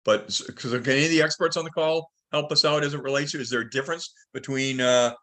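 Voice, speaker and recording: A man's voice: medium pitch, quick pace, sharp tone. A man moderating a casual group discussion on a conference call, putting questions to the participants. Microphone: telephone or conference call line.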